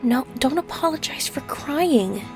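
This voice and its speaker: A woman playing a gentle, nurturing friend in an audio roleplay, speaking tenderly and reassuringly. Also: high voice, lively intonation, measured pace, over music. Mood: gently reassuring. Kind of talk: comforting audio roleplay acting.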